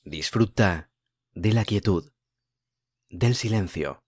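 A man narrating a guided meditation as host, speaking in a calm, soothing, unhurried way with long pauses. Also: sits low, breathy edge, dry recording, studio quality.

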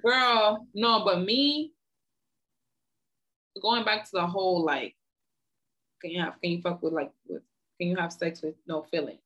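Woman, mid-twenties, lowish pitch, frank, earnest, slangy, casual chat. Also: a little slow, pauses often, fairly bright tone. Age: 20s.

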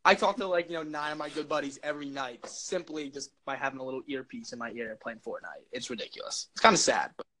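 A young man with a loud, projecting voice, rambling jokily through a casual chat as co-host. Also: mid-range voice, fast, few pauses. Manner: rambling, filler-heavy, jokey.

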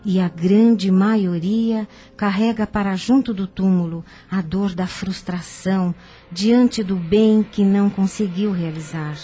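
An actress playing a wise, patient older aunt in a radio soap, giving solemn, measured spiritual counsel. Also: female voice, medium pitch, fairly even intonation, dark tone, husky. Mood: solemn, consoling. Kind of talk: radio soap opera acting. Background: music.

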